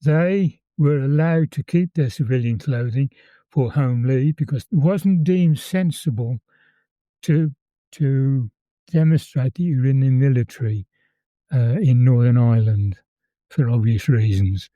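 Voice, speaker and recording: Man, 80s, Birmingham accent, very mellow voice, slightly high, dry close sound, reminiscing, repeats words. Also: no room sound. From England. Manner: measured storytelling, repeats words while recalling. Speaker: interview guest, former conscript. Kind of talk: reminiscing in conversation.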